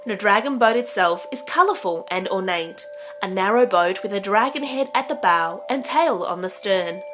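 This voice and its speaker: Woman narrating a short documentary over music. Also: mid-range voice.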